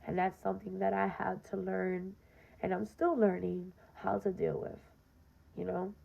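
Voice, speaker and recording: A young woman with a raspy voice, hosting her podcast and speaking earnestly and directly to listeners in a casual personal monologue, often saying "um" and "you know". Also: medium pitch, level tone, a little slow. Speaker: podcast host. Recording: dull lo-fi recording.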